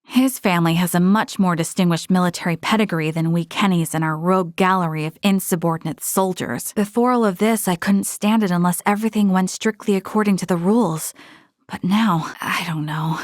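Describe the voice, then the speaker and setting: A woman's voice: on the low side, brisk, no room sound, studio quality, lightly acted. A woman playing an earnest young female military officer in a space video game, speaking formally and articulately with resolve. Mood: uneasy. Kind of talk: scripted video game character dialogue.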